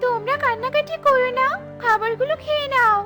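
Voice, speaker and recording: Elder sister bird, very high pitch, caring, protective, children's cartoon, music underneath. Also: female voice, brisk. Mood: concerned, coaxing. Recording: music behind the voice.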